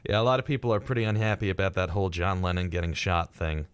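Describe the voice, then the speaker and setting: A man with a low voice chats casually as a radio co-host. His delivery is informal and conversational, and the sound is close and dry with no room around it. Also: quick pace, smooth, dry recording. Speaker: co-host of a retrospective radio show. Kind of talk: casual chat.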